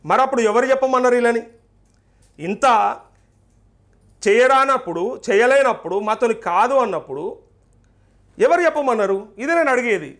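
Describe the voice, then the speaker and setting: Fast, high-pitched Telangana Telugu newsman. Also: expressive, fairly bright tone. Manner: insistent, questioning, repeats points rhetorically. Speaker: journalist and TV host. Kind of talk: live political news commentary.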